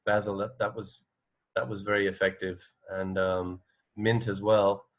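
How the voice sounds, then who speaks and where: A man in a casual radio discussion, talking in a hesitant, halting and tentative way. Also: on the low side, little pitch movement.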